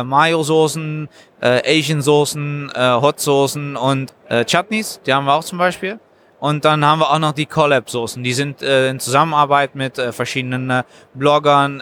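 Guy who makes sauces, explains stuff slowly with lots of pauses, bright voice, trade fair noise behind. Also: medium pitch. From Germany. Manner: halting, explanatory, frequent hesitations. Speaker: sauce maker, guest. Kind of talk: casual interview.

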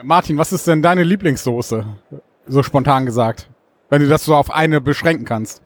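A man's voice: on the high side, normal speed, no echo. A man hosting a podcast, asking his guest questions in a friendly, inquisitive way. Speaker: podcast host. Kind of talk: casual interview.

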